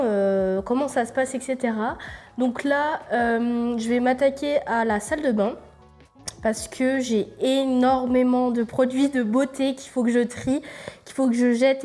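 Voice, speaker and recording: A woman's voice: fairly high pitch, dark tone. A young woman from France with a cold, chatting casually in a vlog while she tours and tidies her apartment, full of fillers. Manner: rambling, many fillers, gives a tour while tidying. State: congested with a cold. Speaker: vlogger. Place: apartment indoors.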